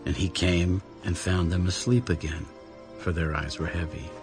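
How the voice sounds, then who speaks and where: Male scripture narrator, low-pitched, scripted dramatic reading, prominent music bed. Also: fairly even intonation, normal speed.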